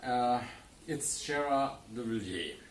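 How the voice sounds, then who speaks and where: A man hosts a wine interview, feeling his way through sentences with frequent "uh" and restarts. His voice is mid-pitched. He sounds distant and echoey, with faint noise behind him. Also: expressive. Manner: hesitant, halting, with many fillers. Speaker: wine reporter. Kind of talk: casual interview. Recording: roomy, distant mic, faint background noise.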